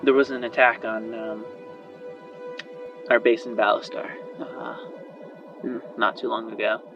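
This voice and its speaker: A man's voice: on the low side, little pitch movement, a little slow, creaky. A man voicing an eager, chatty female inventor who is loyal and worried, speaking in a hesitant, rambling way. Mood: subdued, worried. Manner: hesitant, rambling, trailing off and sighing. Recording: muffled band-limited audio under music.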